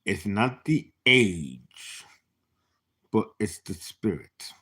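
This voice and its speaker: Slow, lively tarot-reading man in his fifties. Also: on the low side, husky. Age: 50s. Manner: animated, chatty, laughing asides, sudden whispers. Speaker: tarot reader. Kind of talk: casual live tarot reading.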